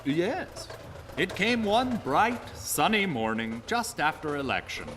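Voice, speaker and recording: A man's voice: a little high, very animated, slow pace, fairly bright tone, exaggerated voice. An actor playing a genial, courtly, mad congressman telling a grisly tale earnestly in a live radio play. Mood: fond, satisfied. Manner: courtly, formal, fond, theatrical storytelling. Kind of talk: live radio drama acting. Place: theater stage before a live audience. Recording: reverberant live theater stage, audible noise.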